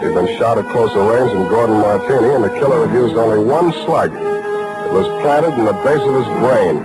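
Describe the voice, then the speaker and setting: An actor with an American accent playing a dry, sardonic hard-boiled private detective in a 1940s radio drama. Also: male voice, medium pitch, lively intonation, normal speed, natural voice, rough recording. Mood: grim narration. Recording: old-time radio recording with music.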